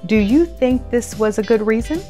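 A middle-aged woman hosting storytime, reading aloud to children in an expressive, deliberate, teacherly way. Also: on the high side, faint music behind. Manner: animated, deliberate teacherly delivery. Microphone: lapel mic.

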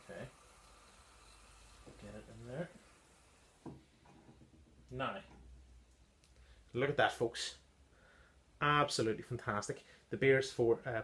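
A man in his thirties talks through a beer he brewed at home, in a relaxed, halting way with frequent ums. He is recorded indoors in a small room on a camera close in front of him. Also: mid-range voice, a little hiss or hum. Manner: chatty, halting with many ums, tasting notes. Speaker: home-brew beer reviewer. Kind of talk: casual beer review vlog. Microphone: device camera at close range.

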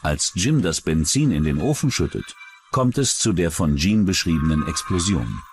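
A man's voice: low voice, expressive, moderate pace, slight background noise. A man narrating a scripted true-crime documentary as its narrator.

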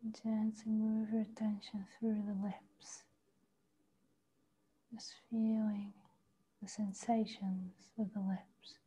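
A woman leading a guided body meditation, speaking slowly and softly, often in a half whisper. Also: mid-range voice, little pitch movement, breathy edge. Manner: slow, soft, partly whispered guidance. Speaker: meditation teacher. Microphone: video call.

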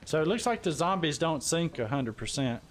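A man's voice: on the high side, very animated, normal speed. A man streaming a video game, chatting casually in a jokey, folksy, exclaiming way. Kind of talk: casual gaming chat. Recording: game gunfire and vehicle noise behind. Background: video game sound effects.

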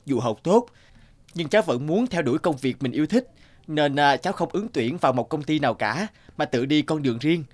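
A man voicing a kind, passionate young repairman in a cartoon, courteous and calm, with a Northern Vietnamese accent. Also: fairly high pitch, a little fast. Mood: earnest. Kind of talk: animated cartoon dialogue.

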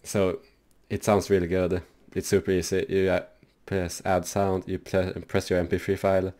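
A man hosting a casual, loose software tutorial for his gaming channel, rambling with lots of fillers. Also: low voice, level tone, moderate pace.

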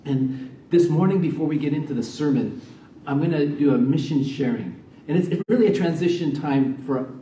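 A middle-aged man, a missionary, giving a sermon and prayer in a church, soft-spoken and halting with many fillers. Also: a little high, slight background noise. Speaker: missionary preacher. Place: church sanctuary, medium-sized. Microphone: stand mic from a distance. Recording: roomy church sound, mic far off.

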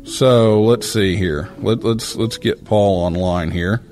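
Man, radio talk host, live from a hotel, halting speech with fillers, faint music under. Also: lowish voice, a little mellow. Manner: halting, many fillers and restarts. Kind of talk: live call-in show banter. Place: hotel room during a convention.

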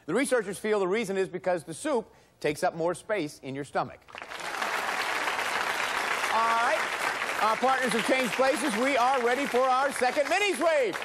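A middle-aged male game show host with a high, bouncing voice that swings widely in pitch. He talks in a brisk, cheerful, emphatic way. Loud music and a lively studio audience play under him in a broadcast recording. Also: over a noisy crowd, very noisy. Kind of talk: upbeat game show hosting.

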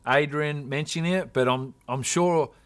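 A man giving a measured recollection in a sports interview. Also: a little high. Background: light music with strings.

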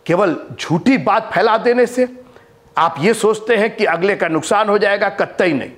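A man in his fifties with a high voice for his sex, preaching to camera on a lapel mic in a studio. He swings between loud, emphatic lines and low, hushed ones. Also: moderate pace. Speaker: bishop and preacher. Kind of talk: Hindi sermon to camera. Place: studio with green-screen backdrop.

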